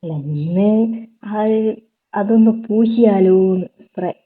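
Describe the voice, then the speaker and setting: A woman with a northern Kerala accent chatting casually and intimately on a phone call, in a husky voice. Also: medium pitch, fairly even intonation, slow pace. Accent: Kerala Malayalam, northern. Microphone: telephone call.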